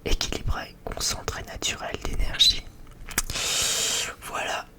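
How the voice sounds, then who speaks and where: Whispering ASMR creator, rambling and hesitant. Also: measured pace. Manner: rambling, hesitant, repeats phrases. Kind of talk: whispered ASMR chit-chat.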